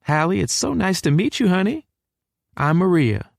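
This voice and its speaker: A man's voice: high-pitched, sing-song, moderate pace, creaky, dry recording, clean studio sound, pitched above the performer's own voice, full character voice. A man voicing a doting Texan mom. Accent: Southern US (Texas). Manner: affectionate, doting. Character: warm motherly Texan woman, cheerful.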